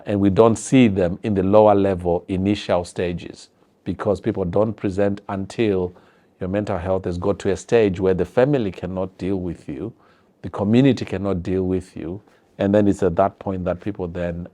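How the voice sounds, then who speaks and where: A man's voice: low-pitched, steady tone, moderate pace, warm tone. A man with a Zimbabwean accent, a health professional giving an earnest, explanatory interview.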